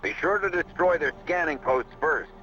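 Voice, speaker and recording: Casting: sci-fi game general, authoritative and steady, forceful delivery of mission orders, lo-fi sound. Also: male voice, fairly high pitch, moderate pace. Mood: firm, briefing. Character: commanding, steady rebel general briefing his pilots. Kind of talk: military mission briefing. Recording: dull lo-fi game audio, faint music behind.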